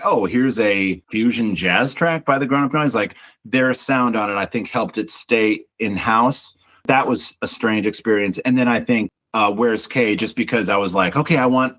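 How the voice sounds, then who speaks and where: A man with a low baritone speaks in a relaxed, reflective interview manner. He often doubles back on his words and muses as he explains his songs. The tone stays easygoing and conversational. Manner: reflective, restarts with small repeats, chatty. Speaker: band singer and songwriter. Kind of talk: casual interview talk.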